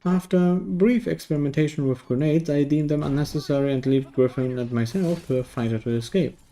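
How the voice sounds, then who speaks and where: Mellow, wry man reviewing games. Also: on the high side, brisk. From Germany. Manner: dry, wry. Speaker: game reviewer. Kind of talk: casual scripted review narration.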